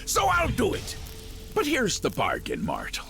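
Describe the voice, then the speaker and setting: An actor playing a gleefully deranged, menacing prince of madness in a video game, theatrical and mocking. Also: male voice, extremely high pitch, huge pitch swings, unhurried, gravelly, bright, forceful tone, soft background music, heavily acted. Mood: manic, mocking. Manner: theatrical, wildly swinging, mocking.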